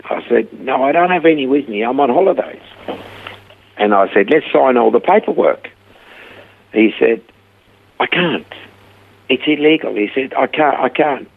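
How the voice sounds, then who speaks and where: An older man with a Swiss accent telling his life story in a relaxed chat, acting out the voices in his stories. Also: medium pitch, gravelly, breathy edge, narrow, tinny audio. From Switzerland. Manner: animated storyteller acting out quoted dialogue. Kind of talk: casual storytelling interview.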